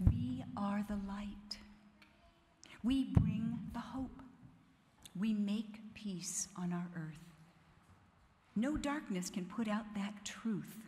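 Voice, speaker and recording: A woman co-leading a church gathering, speaking in measured, simple phrases to families and children. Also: mid-range voice, a little mellow. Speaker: church event co-leader. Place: large indoor church hall with an audience. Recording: echoey hall, faint music behind.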